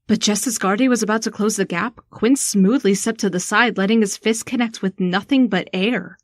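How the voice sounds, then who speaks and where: A woman playing a shaken, sharp-tongued young woman in a first-person audio drama. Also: mid-range voice, moderate pace, no echo, studio quality, close to an everyday voice. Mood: tense narration.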